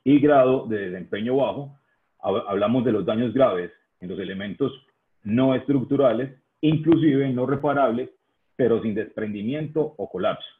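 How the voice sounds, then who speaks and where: A young man giving a technical webinar presentation, speaking deliberately, with frequent "eh" and "¿sí?" checks. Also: medium pitch. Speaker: engineering presenter at a trade fair webinar. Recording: tinny phone-like line.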